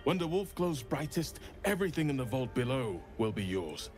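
An actor playing a devout, earnest druid man in a video game, speaking formally and deliberately. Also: mid-range voice, very animated, a little mellow, faint music behind, natural voice. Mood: earnest, hopeful. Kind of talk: dramatic game dialogue.

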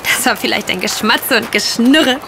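A woman's voice: a little high, normal speed, noisy background, close to an everyday voice. An actress playing a young housemaid in a radio play, simple, guileless and emotional in her earnestness. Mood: earnest, grieving. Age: young adult. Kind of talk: German radio play acting.

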